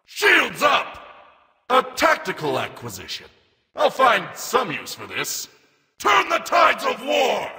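High-pitched, bombastic mecha war god. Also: male voice, sharp tone, big-room sound, exaggerated voice. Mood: commanding. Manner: grandiose, declamatory battle cries. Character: proud, warlike armored mecha warrior god of death. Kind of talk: video game character voice lines.

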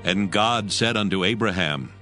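A man narrating scripture from a script in a measured, formal way. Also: lowish voice. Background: faint music.

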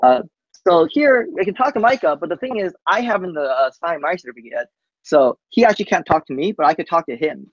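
A man giving a casual live software demo on a team call, speaking haltingly and often tacking "basically" onto sentences. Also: fairly high pitch, animated. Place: coworking space, on a group video call. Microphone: video call microphone. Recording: muffled video call audio.

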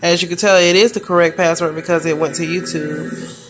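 A woman casually narrating a phone screen recording, flatly describing a sign-in problem and asking viewers for help. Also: on the low side, fairly quick, over music. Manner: flat, frustrated help request. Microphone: phone camera filming a handheld screen.

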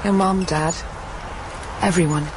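Lowish female voice, acted composed former lover, plain gentle delivery, audio drama, noisy ambient background. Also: fairly even intonation, a little slow, natural voice. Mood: gentle, firm. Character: composed woman, a former lover breaking hard news. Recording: clear background noise, ambience.

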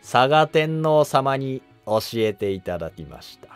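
A man's voice: medium pitch, very animated, measured pace, smooth, faint music behind. A man voicing a shrewd Buddhist monk adviser who asks polite, insinuating questions in a historical drama animation. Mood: shrewd, probing.

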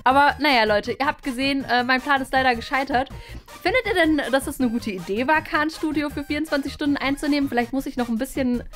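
A woman's voice: sits high, fast talker, a bit bright, soft background music. A young woman chatting casually to camera as a vlog host, thinking aloud in a colloquial way. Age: young adult. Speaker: video channel host. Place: small furnished studio room, indoors. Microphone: camera at close range.

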